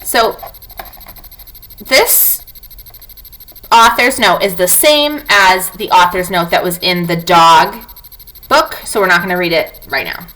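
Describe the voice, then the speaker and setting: A woman's voice: lowish voice, slow pace, pauses often, pushed and bright, rough recording. A woman teacher reading a non-fiction book aloud to young children, talking to them directly with questions and prompts.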